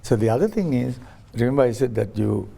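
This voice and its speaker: A man's voice: mid-range voice, expressive, brisk, creaky, dark tone, husky. A man interviewed as a guest on a talk show, explaining his ideas through stories and acting out quoted voices, with frequent restarts. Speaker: guest, science ambassador.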